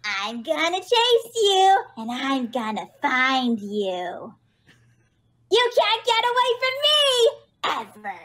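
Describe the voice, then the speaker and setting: A woman's voice: high voice, wildly swooping pitch, takes it slowly, fairly bright tone, exaggerated voice. A woman voicing a manic, obsessive young fangirl, gushing and shrieky, in video game lines. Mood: creepy glee.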